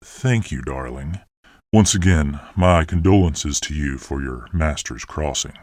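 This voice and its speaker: An actor playing a grave, gentle lord of a fantasy council in an audio drama. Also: male voice, extremely low pitch, measured pace, no echo, clean studio sound.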